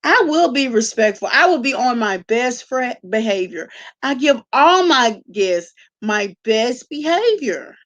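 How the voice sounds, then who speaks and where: A woman's voice: fairly high pitch, sing-song, a little slow, fairly bright tone, dull audio. A woman hosting a casual livestream, talking to viewers in an informal, slangy, conversational way. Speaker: live-stream host reacting to online gossip.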